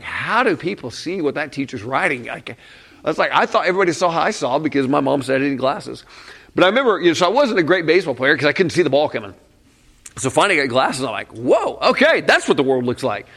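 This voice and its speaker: A middle-aged man who was a youth pastor, telling a story to an audience in a casual, animated way, acting out quoted voices and sometimes dropping to a hushed aside. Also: on the high side, fast.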